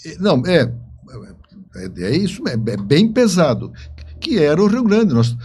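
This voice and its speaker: A man, telling stories in an interview in a halting, emphatic way with repeated words. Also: on the high side, sing-song, darkish tone, a little hiss or hum. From southern Brazil. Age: 70s. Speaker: interview guest, writer and engineer. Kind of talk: conversational interview storytelling.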